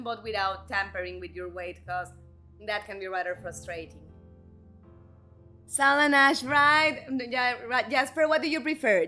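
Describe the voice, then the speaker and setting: A woman's voice: medium pitch, a bit bright. A woman who is a native Spanish speaker, hosting a game live stream and chatting encouragingly with her viewers. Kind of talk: live stream chat, reading comments aloud.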